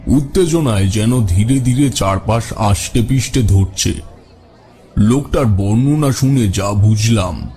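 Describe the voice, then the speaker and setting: A man playing a grave village holy man, narrating a scripted horror story in a measured, dramatic way. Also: lowish voice, warm tone, soft background music, some chatter behind. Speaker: narrator and lead actor.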